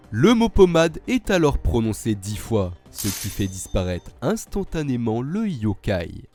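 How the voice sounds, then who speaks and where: Narrateur très expressif, voix feutrée. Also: male voice, medium pitch, a little mellow. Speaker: video narrator and presenter. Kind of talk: scripted narration.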